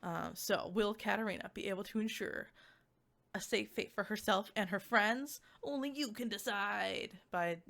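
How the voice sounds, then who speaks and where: A woman's voice: medium pitch, normal speed, vocal fry, fairly bright tone. A woman giving a casual, unscripted game review as a VTuber, rambling and giggly as she interrupts herself.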